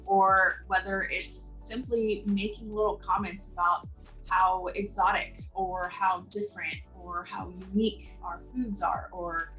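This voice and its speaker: A woman from Southern California giving an earnest, measured personal testimony for a community video. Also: medium pitch, fairly even intonation, a bit bright, recorded from a distance, soft background music, poor audio. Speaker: community member sharing experiences.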